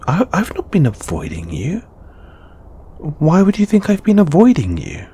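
A man acting a huffy, slow-talking lamia flatmate. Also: on the low side, husky, heavily acted. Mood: hesitant, evasive. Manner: huffy, halting, sighing, defensive. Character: huffy, flustered male lamia flatmate, snake monster boy. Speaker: audio roleplay performer. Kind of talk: intimate one-sided audio roleplay acting.

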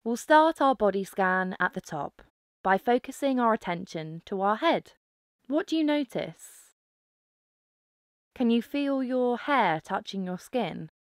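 Slow, smooth-voiced woman guiding meditation. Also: fairly high pitch, no echo, clean studio sound. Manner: calm, instructive, unhurried with pauses. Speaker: guided meditation instructor. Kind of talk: guided mindfulness meditation narration.

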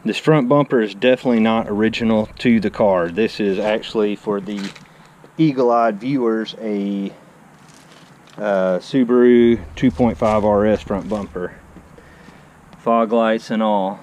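Enthusiastic man, lowish voice. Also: measured pace, darkish tone. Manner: enthusiastic, chatty, says "I mean" often. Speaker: car channel host. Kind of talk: casual walkaround vlog. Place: outdoor driveway beside a garage. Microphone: handheld action camera. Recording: faint outdoor background noise. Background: faint outdoor ambience.

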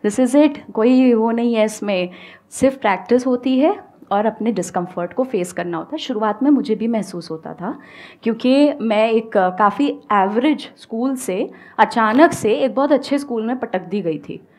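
A woman's voice: a little high, normal speed. A woman in her thirties with an Indian accent, giving an emphatic motivational talk on a studio stage, mixing Hindi and English. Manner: emphatic and animated, switching between Hindi and English, gesturing a lot. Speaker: guest speaker, English-speaking coach. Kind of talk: motivational talk to a live audience. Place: indoor studio stage with a live audience. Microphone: headset mic.

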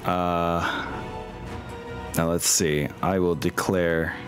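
A man's voice: low-pitched, flat delivery, extremely slow. A man running a tabletop game as game master, casually thinking aloud in an easygoing way. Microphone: online group video call. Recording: music behind the voice. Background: music.

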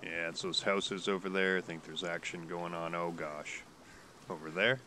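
A man's voice: low voice, steady tone, normal speed, sharp tone, slight background noise. A man with a stuffy nose from a cold, casually commenting while playing a game, rambling and hesitant with many restarts. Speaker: gaming YouTuber.

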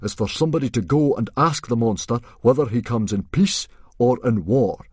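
A man voicing a blustering, awkward Viking chief who declaims loudly as if in command, for a storybook. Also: mid-range voice, lively intonation, moderate pace, breathy edge, no room sound, studio quality, pitched above the performer's own voice. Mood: blustering, scared. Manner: loud, declamatory, trying to sound in command.

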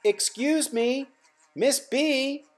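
A woman's voice: mid-range voice, big pitch swings, measured pace, pitched above the performer's own voice. A woman voicing an eager young boy in a children's story. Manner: eager and bright.

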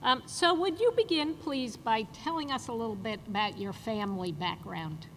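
An older woman interviewing a colleague on a panel, asking measured questions. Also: a little high, lively intonation. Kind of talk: panel conversation. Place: conference stage, large hall. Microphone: table mic on stand. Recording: reverberant hall, faint noise.

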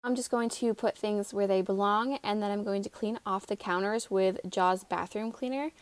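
Young american lady doing a casual vlog, chatty and upbeat, medium pitch. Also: steady tone, moderate pace, dry recording, faint music behind. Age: 20s. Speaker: home vlogger. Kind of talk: casual vlog narration with product promotion.

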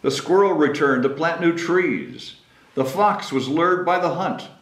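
A man's voice: fairly high pitch, a little slow, distant mic. A man in his sixties hosting a story time, reading picture books aloud to children in a small meeting room. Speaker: story time host and mayor. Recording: roomy sound with background noise.

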